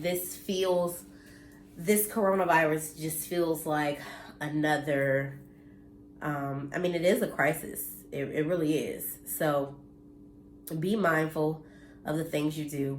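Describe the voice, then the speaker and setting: A woman in her thirties speaks to camera at home, slightly nasal and congested, earnest and blunt with the odd curse. Her voice sits a little low. The room echoes and a faint hum of ambience stays underneath. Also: measured pace. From United States. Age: 30s. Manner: earnest and blunt, mildly preachy, occasional cursing. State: congested from allergies. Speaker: vlogger. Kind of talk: casual direct-to-camera talk.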